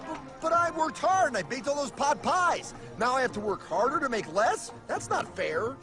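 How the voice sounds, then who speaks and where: High-pitched, excitable cartoon everyman. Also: moderate pace. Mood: worried, whiny. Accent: American. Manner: excitable, protesting, stammering. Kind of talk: animated explainer cartoon acting. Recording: music bed behind the voice.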